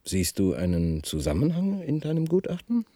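A man's voice: a little high, wildly swooping pitch, moderate pace, mellow, no echo, clean studio sound. An actor playing a curt, pressing man who probes for answers in a radio drama. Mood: probing. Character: pressing, curt man questioning an expert, offering sweets. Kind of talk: German radio drama acting.

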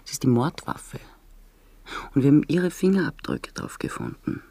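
An actress playing a probing, controlled police inspector in a radio drama, questioning a suspect. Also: female voice, low-pitched, huge pitch swings, normal speed, vocal fry, dark tone, no room sound, exaggerated voice. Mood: stern.

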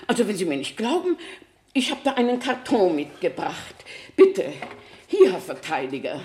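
Radio play casting: actress as chatty, unshakeable middle-aged female witness, self-declared clairvoyant, highly animated delivery. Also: lightly acted. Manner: emphatic, matter-of-fact, unshakeable. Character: stubborn, chatty self-declared clairvoyant woman testifying in court. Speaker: witness in court. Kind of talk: 1970 German radio play acting.

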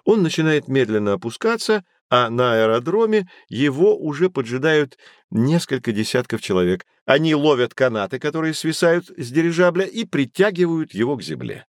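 An actor playing a knowledgeable man who guides children through an educational audio drama, explaining things in a clear didactic way. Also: fairly high pitch, very animated, moderate pace, dry recording, studio quality. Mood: patient, explanatory. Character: knowledgeable male teacher guiding children on adventures. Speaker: guide explaining history and technology.

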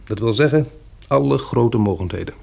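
Calm protective survivor guy in a radio play, husky voice, lively pitch, reasons things out, soothing. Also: moderate pace. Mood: grave, reasoning. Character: calm, practical man surviving a catastrophe, tender protector. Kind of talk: radio drama acting.

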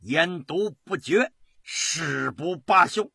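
A male storyteller plays a stern, dignified imperial commissioner in his fifties from a historical tale. He speaks formally and declaims with measured force, in a bright, rough-edged male voice, recorded dry. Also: mid-range voice, expressive, no room sound, voice pushed down. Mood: fierce resolve.

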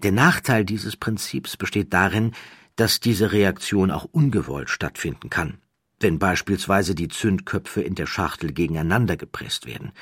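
A man narrates a scripted documentary story in a measured, dramatic way with deliberate pauses. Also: low voice, fairly bright tone, husky, no echo, clean studio sound.

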